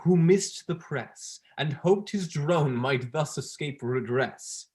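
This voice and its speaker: A man's voice: fairly high pitch, expressive, slow, a little mellow. A young man playing a triumphant, showy academic who declaims pompously in a staged play reading. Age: young adult. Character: triumphant, showy male academic reciting old verse.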